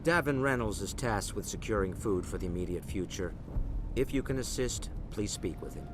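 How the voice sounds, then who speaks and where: An actor playing a weary, dignified colony leader in a video game, speaking in a measured, formal and earnest way. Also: male voice, fairly low pitch, lively intonation, noisy background, lightly acted. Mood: calm, businesslike. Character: weary, dignified, steadfast male leader of besieged space colony. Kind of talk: video game dialogue acting. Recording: game audio with music behind.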